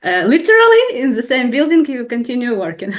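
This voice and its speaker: A woman's voice: on the high side, sing-song, fairly quick. A woman interviewing a guest, asking questions politely with some hesitation.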